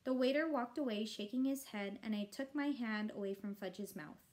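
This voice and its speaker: Woman reading a story, fairly high voice. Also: normal speed, darkish tone. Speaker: teacher reading aloud. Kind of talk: expressive children's story narration.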